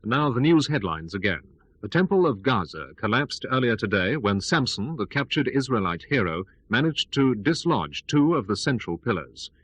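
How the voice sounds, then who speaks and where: A man playing a radio newsreader, reading a dramatized bulletin in a formal, measured way. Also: mid-range voice, sing-song, a bit bright, husky, no echo, poor audio. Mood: formal, grave. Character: formal male radio newsreader giving headlines.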